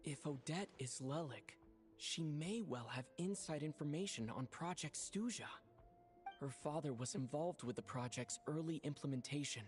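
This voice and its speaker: A man playing a calm, kind young adventurer in a video game, speaking in a measured, reflective way. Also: medium pitch, natural voice. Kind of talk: video game character dialogue.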